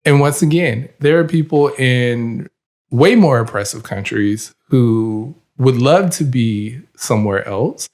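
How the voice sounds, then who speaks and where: A man's voice: mid-range voice, slow, darkish tone. A man hosting his own podcast in casual chat, opinionated and emphatic and admittedly long-winded. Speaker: podcast host.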